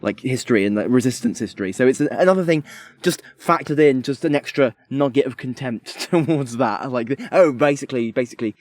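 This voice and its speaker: A man co-hosting a podcast, giving casual critical commentary in a hesitant, halting way. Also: mid-range voice, darkish tone. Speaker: podcast co-host.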